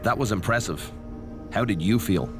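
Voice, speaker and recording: An actor plays a cautious male mentor in a wrestling video game. His voice is very low, with a grave and measured delivery, as if always warning. Music runs clearly behind him. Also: expressive, lightly acted. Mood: measured caution. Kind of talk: video game cutscene acting.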